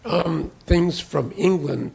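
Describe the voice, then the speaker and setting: Gravelly raspy man in the audience. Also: a little high, slow pace, darkish tone. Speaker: audience member.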